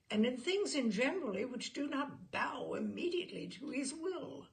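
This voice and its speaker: Woman reading an old humorous story, really animated pitch, bit of creak in the voice. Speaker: storyteller reading aloud to an audience. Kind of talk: literary storytelling with informal introduction.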